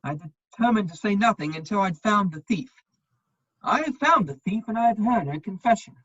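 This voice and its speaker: Husky, animated aloof gentleman wit, played by a woman. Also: mid-range voice, no room sound, muffled recording. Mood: cold, accusing. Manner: dry, measured, epigrammatic delivery. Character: witty, aloof upper-class gentleman, principled and cutting. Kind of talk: dramatic reading of a period comedy play.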